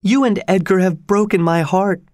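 A man's voice: on the high side, moderate pace, darkish tone, no room sound, studio quality, voice pushed up, natural voice. A man voicing a dying young woman, bitter and passionate, who speaks in a plaintive, dramatic way for a storybook. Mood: reproachful, passionate.

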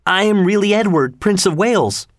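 A man's voice: sits high, expressive, moderate pace, no room sound, studio quality, pitched above the performer's own voice. A man reading aloud, voicing a proud, indignant young prince in a storybook with a raised, insistent delivery.

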